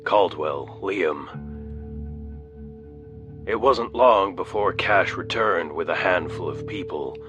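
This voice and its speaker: An actor playing a terse military colony officer recording a log in an audio drama, clipped and matter-of-fact. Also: male voice, deep voice, sharp tone, heavily acted.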